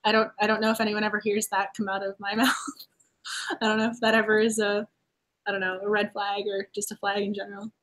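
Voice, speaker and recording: A woman from the Boston area hosting a casual book chat over a video call, talking quickly through thoughts with frequent laughs and restarts. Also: medium pitch, monotone, fairly bright tone, rough recording. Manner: chatty, laughs often, restarts while avoiding spoilers. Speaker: host.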